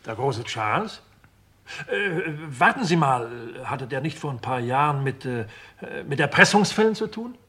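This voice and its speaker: A dutiful, plodding detective, with an average-pitched voice. He is matter-of-fact and a bit slow to catch on, in old German radio drama style. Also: sing-song, fairly bright tone, slightly rough, heavily acted. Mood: puzzled. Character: plodding, slightly dim male police detective subordinate. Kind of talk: 1950s-80s German radio crime drama acting.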